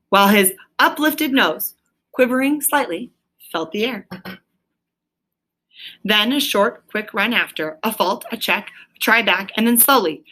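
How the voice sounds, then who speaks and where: A woman narrates a storybook aloud in a bright, forward voice. Her pitch sits in the middle, and she reads expressively as if for a child. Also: rough recording. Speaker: storybook reader.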